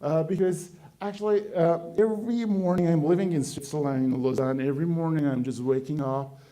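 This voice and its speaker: Halting man giving a conference talk. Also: a little high, fairly even intonation, warm tone. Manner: halting, many fillers and restarts. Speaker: conference speaker. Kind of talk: conference presentation. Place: conference hall.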